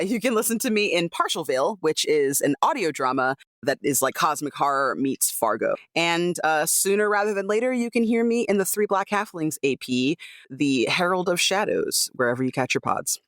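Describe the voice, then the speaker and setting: A woman's voice: low voice, no echo, clean studio sound. A woman who performs on a podcast, chatting casually and playfully about shows. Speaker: podcast cast member, voice performer. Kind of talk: casual chat, plugging own shows.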